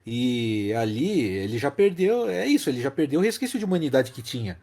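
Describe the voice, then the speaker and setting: A Brazilian man talks through a film as a podcast host. His voice is soft-edged and mellow, with pitch that moves a lot. He explains casually but emphatically, repeating key phrases for weight. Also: big pitch swings, brisk.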